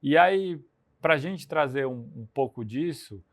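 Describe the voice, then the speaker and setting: A man hosting a business podcast in a lively conversational tone. His voice is of middling pitch for a man. He leads the talk with open questions and quick asides. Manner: energetic, conversational, leading questions. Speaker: podcast host. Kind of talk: podcast interview.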